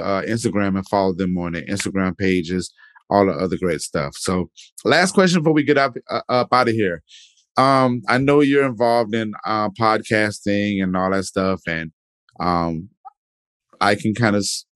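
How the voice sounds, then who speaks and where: A young man with a very low, deep voice hosts a podcast in a laid-back, rambling way. He leans on "man" and "definitely" as he talks. His voice is close and dry, with no room sound. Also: moderate pace, no room sound. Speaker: podcast host. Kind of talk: casual interview chat.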